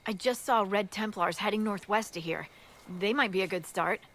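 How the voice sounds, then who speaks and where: A woman's voice: mid-range voice, normal speed, a bit bright, close to an everyday voice. A woman playing a blunt, down-to-earth army scout in a fantasy video game, informal and wry. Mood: matter-of-fact. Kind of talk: video game cutscene acting.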